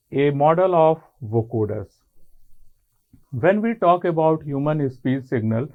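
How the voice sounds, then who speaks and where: A man with an Indian accent giving a technical college lecture in an explanatory way, in deliberate short phrases. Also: fairly high pitch. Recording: muffled, phone-like narrow band.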